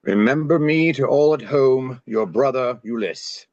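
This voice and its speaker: A man's voice: medium pitch, a little slow, a little mellow, no room sound, lightly acted. A man playing a stern, curt Civil War general in a table reading, speaking formally and with clipped authority. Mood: affectionate, formal. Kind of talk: amateur play table reading. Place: online video-call reading. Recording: dull, dry video-call sound.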